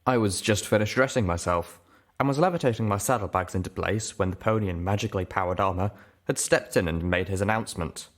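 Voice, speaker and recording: A man reading a story aloud as an expressive first-person narrator. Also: fairly low pitch, quick pace, slight background noise. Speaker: audiobook reader.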